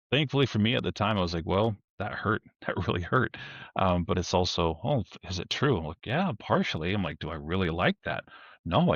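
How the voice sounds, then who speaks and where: A man with a deep voice, talking candidly in a rambling way, often correcting himself and joking. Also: no room sound, dull audio. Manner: rambling, self-correcting, uses humor; louder, forceful speaking tone. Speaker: podcast guest. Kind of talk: candid conversational talk.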